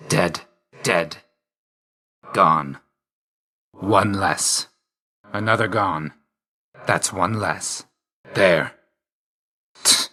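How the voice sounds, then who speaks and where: Stern death god, game character, lowish pitch, bright forceful edge, curt, deadpan, aloof. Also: male voice, heavily acted. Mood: terse, dismissive. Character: grim, aloof god of death, stern yet grudgingly loyal. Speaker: video game voice actor. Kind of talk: scripted game character lines.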